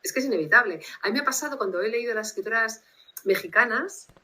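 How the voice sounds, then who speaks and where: A woman's voice: fairly high pitch, lively intonation, quick pace, sharp tone, a little hiss or hum. A woman from Bilbao with a Basque Spanish accent, speaking as a guest translator on a video call in a reflective, rambling way. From Spain, Bilbao.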